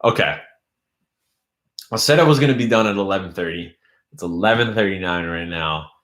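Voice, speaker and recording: A young man hosts a casual live stream, rambling through viewer comments in a loose, friendly way. His voice sits a little low and sounds tired from hours of talking. The room around him is noticeably echoey. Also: lively intonation, brisk, fairly bright tone. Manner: loose, rambling, reads chat aloud. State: losing his voice. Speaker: YouTube host.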